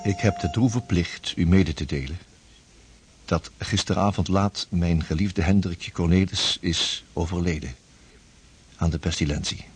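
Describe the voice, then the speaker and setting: An actor playing a devout, grieving Dutch sea captain in a period radio drama, solemn, formal and halting, with a husky voice. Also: male voice, low voice, a little hiss or hum.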